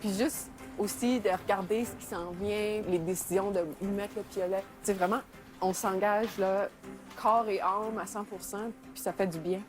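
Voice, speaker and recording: A woman's voice: medium pitch, fairly even intonation, normal speed, music in the background. A middle-aged woman from Northern Ontario, chatting in a documentary interview and laughing often. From Sudbury, Northern Ontario, Canada. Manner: chatty, laughs often, uses many fillers. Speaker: mountaineer interviewee. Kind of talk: documentary interview, casual recollection.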